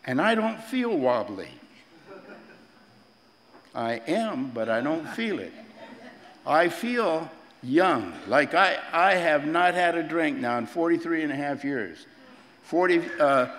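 An eighty-year-old man giving personal testimony at a recovery meeting, halting and repeating himself with frequent chuckles. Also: a little high, a bit bright, big-room sound. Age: 80s. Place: large meeting room with tables.

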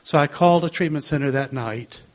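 An older man with a soft voice, sharing his own story in a gentle, wry way. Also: on the high side, brisk, muffled recording. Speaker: speaker sharing a recovery story. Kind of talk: personal testimony to a group.

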